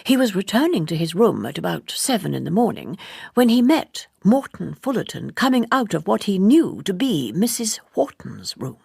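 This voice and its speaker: A woman reading an audiobook, voicing an earnest, fussy gentleman who speaks formally and insistently in roundabout sentences. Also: mid-range voice, big pitch swings, moderate pace, vocal fry, breathy edge, no room sound, studio quality, exaggerated voice. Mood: grave, insinuating. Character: earnest, fussy, gossiping gentleman, gravely indignant. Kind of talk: audiobook character dialogue.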